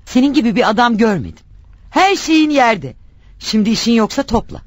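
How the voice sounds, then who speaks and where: An actress playing a nagging, suspicious yet concerned wife in a radio play, scolding and questioning. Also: female voice, a little high, big pitch swings, normal speed, no room sound.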